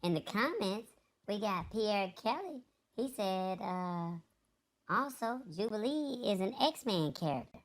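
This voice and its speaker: A woman's voice: mid-range voice, expressive, slow. A woman hosting a live show in a casual, chatty style. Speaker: show host.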